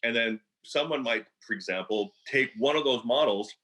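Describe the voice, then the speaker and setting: A man in his fifties talks through forecasting as a meteorologist. His manner is easygoing and plain-spoken. He leans on homely comparisons to make technical points clear. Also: mid-range voice, moderate pace, fairly bright tone, low-quality recording. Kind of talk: panel talk explaining forecasts.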